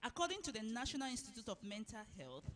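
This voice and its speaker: A woman whose first language is French, delivering a formal prepared keynote address as a conference chairperson in a measured way. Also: fairly high pitch, very animated, a bit bright. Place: conference venue.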